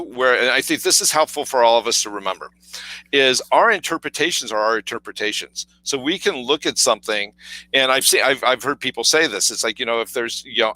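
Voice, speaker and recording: Male podcast co-host, deep authoritative tone, casual storytelling with many fillers, dry recording. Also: pushed and bright, no echo, rough recording. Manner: storytelling, acts out quoted voices, many fillers. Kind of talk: casual conversational coaching talk.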